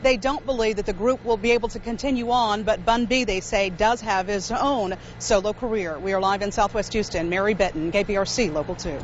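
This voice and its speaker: A woman's voice: medium pitch, fairly quick, hardly any pauses, a little hiss or hum. Dull-sounding TV clip of a woman reporter. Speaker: TV news reporter. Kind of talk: local TV news report. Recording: dull archived TV audio with music. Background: music.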